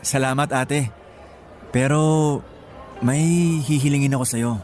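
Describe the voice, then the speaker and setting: A man's voice: mid-range voice, fairly even intonation, takes it slowly, darkish tone, natural voice. A man acting in a Filipino radio drama as a grateful, protective younger brother with an earnest delivery. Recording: music behind the voice.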